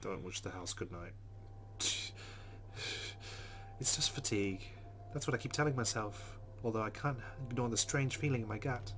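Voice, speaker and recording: A man hosting a let's play, reading the game's narration aloud expressively with quieter casual asides. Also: medium pitch, normal speed, slight background noise. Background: guitar game music.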